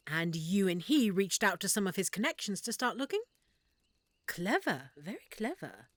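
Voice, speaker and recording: Woman acting an animated, affectionate half-elf shopkeeper. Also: medium pitch, moderate pace, no echo. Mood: intrigued, attentive. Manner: expressive, warm, reacting with feeling. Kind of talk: roleplay audio drama, speaking to listener.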